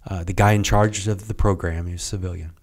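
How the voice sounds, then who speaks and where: Deep-voiced man rambling through a story. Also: fairly even intonation. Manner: rambling, halting, many restarts and trailing off quietly. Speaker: podcast guest, retired Navy serviceman. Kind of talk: casual interview storytelling.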